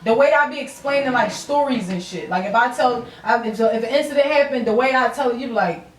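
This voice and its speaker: A woman's voice: on the high side, fast. A young woman chatting casually as a podcast host, candid and slangy with plenty of swearing. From Philadelphia, US. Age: young adult. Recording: echoey room, distant mic.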